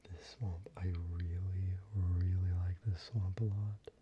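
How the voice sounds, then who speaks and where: A young man talking softly in a half whisper, casual and rambling, as an ASMR creator. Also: low-pitched, level tone, slow pace. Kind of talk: soft-spoken ASMR show-and-tell. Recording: slightly muffled, with faint ambient noise.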